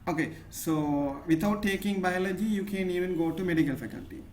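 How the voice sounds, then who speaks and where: A man's voice: high-pitched, brisk, a little mellow. A man with a Sri Lankan accent tutoring chemistry one-on-one, patient and often checking in with "right?". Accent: Sri Lankan English. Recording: echoey room, faint noise.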